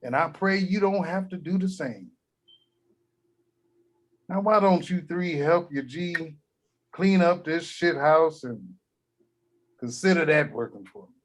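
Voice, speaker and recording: A man's voice: a little high, expressive, takes it slowly, long pauses. An actor at a table read playing a crude, grumpy old grandfather who blusters and swears. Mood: lecturing. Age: older. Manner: profane, blustery, shouting when riled.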